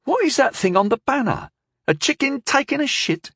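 A man's voice: on the high side, quick pace, husky, no echo, clean studio sound, voice pushed up. A man voicing a bemused, irreverent knight in a fantasy audiobook, casual and mocking. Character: bemused, irreverent knight, the narrator's amused comrade.

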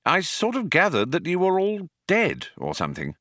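A male audiobook reader acts out a nervous, ordinary man who is out of his depth. He hesitates and stammers with flustered disbelief, his pitch swinging around in a very dry recording. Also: wildly swooping pitch, normal speed, a bit bright, dry recording, full character voice. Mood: awkward. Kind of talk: audiobook character voice.

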